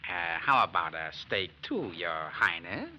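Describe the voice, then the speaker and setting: A grousing American diner cook, sarcastic and slangy, with a wildly swinging pitch. The old radio recording is muffled. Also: male voice, big pitch swings, measured pace. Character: grumbling, wisecracking male short-order diner cook. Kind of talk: old-time American radio drama acting.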